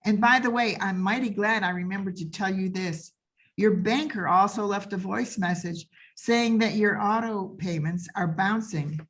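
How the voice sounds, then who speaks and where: A woman's voice: mid-range voice, normal speed, a bit bright, natural voice. A woman playing a folksy, blithely apologetic servant who breaks bad news with hesitant understatement in old-time radio comedy.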